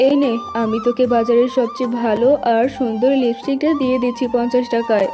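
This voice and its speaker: A woman voicing a friendly, flattering tigress shopkeeper in a children's cartoon. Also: fairly high pitch, fast, music in the background, lightly acted. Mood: pleased.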